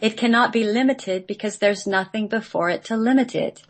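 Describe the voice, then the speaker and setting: A woman's voice: medium pitch, normal speed. A woman reading a scripted mystical text aloud in a solemn recitation, as a guest on a radio show.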